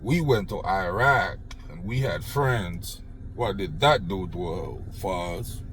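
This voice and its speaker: A man's voice: sits low, animated, slow pace, a bit bright, slight background noise. An older man from Jamaica with a Jamaican accent, ranting sarcastically about politics into his phone, blunt and swearing freely. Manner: sarcastic, mocking praise. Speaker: political commentator. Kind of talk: unedited political rant.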